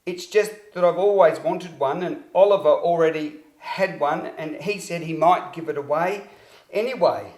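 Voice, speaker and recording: A man acts out a young boy from a children's storybook, owning up with earnest, apologetic words. The delivery is confessional and guilty. The sound is roomy, with clear echo. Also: on the high side, normal speed, darkish tone, pitched above the performer's own voice, natural voice. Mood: tearful, pleading.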